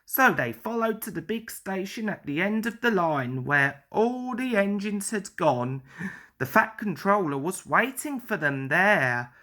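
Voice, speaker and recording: A woman's voice: mid-range voice, animated, unhurried, fairly bright tone. A woman reading a children's story aloud as narrator.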